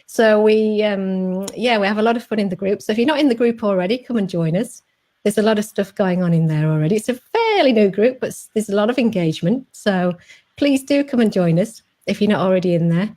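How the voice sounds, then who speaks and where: A woman's voice: mid-range voice, expressive, fairly quick, darkish tone. A woman with a Sheffield accent hosting a Facebook Live interview over a video call, chatty and full of fillers and restarts. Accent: Sheffield English. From England. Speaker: host.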